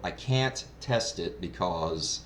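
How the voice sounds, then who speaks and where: Man, very low voice, casual reviewer, rambling explanations, roomy sound. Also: lively intonation, slow. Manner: rambling, explanatory, self-described novice. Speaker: product reviewer. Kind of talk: casual unscripted gear review. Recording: roomy echo, clear background noise.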